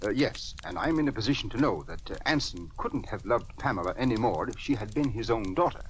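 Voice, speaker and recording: A man's voice: fairly low pitch, expressive, brisk, close to an everyday voice. An actor playing an earnest, troubled older male judge with a hesitant, formal manner in a 1940s radio drama. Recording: noisy old radio recording.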